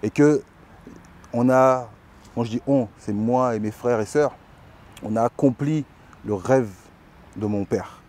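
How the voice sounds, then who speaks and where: A man recalls the past in a calm, reflective way. His voice is mellow and warm at a middle pitch. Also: takes it slowly, long pauses. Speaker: interviewee. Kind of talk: personal recollection.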